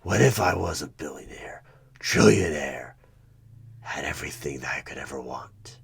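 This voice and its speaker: Man performing a husky, very deep devilish recruiter. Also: animated, full character voice. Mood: tempting, needling. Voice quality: gravelly, husky, often breaking into whisper. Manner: slow, deliberate, coaxing, condescending. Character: sinister devilish male recruiter, smug soul-buying tempter. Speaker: roleplay performer playing a job interviewer. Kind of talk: one-sided horror ASMR audio roleplay.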